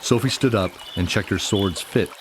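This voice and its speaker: A man's voice: lowish voice, moderate pace, a bit bright, a little hiss or hum. A man narrating a scripted fantasy radio drama as its storyteller, with music underneath.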